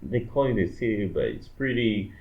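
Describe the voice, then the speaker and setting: A man's voice: on the low side, sing-song, normal speed. A young man from Congo chatting as a podcast co-host, rambling and filling his talk with "like" and "you know". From Democratic Republic of the Congo. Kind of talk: casual chat. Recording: echoey room, dull lo-fi sound.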